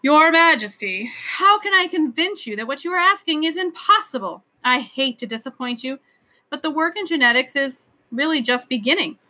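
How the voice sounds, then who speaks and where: A woman's voice: high-pitched, moderate pace, sharp tone. A woman voicing an earnest, apologetic male professor in a storybook, hesitant and pleading as he explains. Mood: exasperated, pleading.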